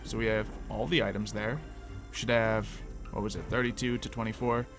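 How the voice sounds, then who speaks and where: A man's voice: lowish voice, level tone, a little fast. Man rambling through casual game commentary over music. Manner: rambling, thinking aloud, talking to himself. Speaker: gaming video host. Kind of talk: casual gameplay commentary with light roleplay.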